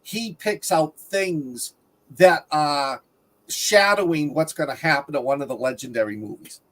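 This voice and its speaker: Woman chatting at a medium-low pitch. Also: a little slow. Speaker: panelist on a movie review show. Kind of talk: casual conversational chat.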